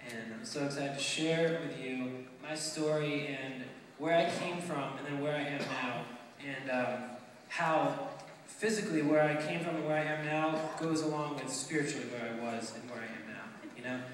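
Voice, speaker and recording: A nineteen-year-old man from Romania giving an earnest, halting testimony to an audience, with many ums and restarts. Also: fairly high pitch, fairly bright tone. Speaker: speaker telling his adoption story. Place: large hall with an audience. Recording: echoey hall from far off.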